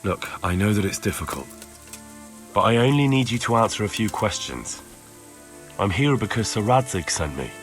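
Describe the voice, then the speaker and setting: An actor playing an earnest, persistent young investigator in a video game, questioning in a measured way. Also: male voice, on the low side, lively intonation, fairly bright tone, faint music behind. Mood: patient, probing. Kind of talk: video game dialogue acting.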